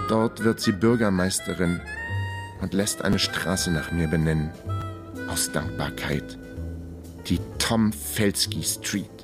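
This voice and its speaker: An actor playing a trapped, wryly self-mocking man who narrates his own story in a radio crime drama. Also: fairly low pitch. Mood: dreamy, wry. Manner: wry, matter-of-fact musing. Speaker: narrator and lead character.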